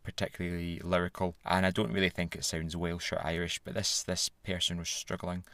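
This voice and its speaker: A Glaswegian man hosting a casual conversation, chatty and quick to laugh. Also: low voice, monotone, a bit bright, dry recording. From Scotland.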